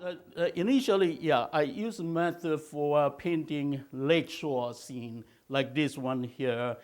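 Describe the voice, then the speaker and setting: An older man from China, raised in Malaysia, teaching a watercolor technique in a slow, halting way with many filler sounds. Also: a little high, a little mellow, faint music behind. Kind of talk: instructional video talk.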